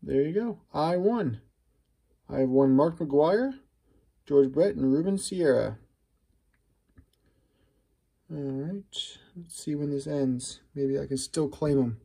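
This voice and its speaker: A middle-aged man with a mellow, gravelly voice gives casual, unscripted commentary while handling trading cards. His tone is husky and creaky, and he muses aloud as if talking to himself. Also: on the high side, lively intonation, measured pace. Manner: chatty, musing aloud to himself. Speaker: host of a trading card opening video. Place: indoors at a wooden table.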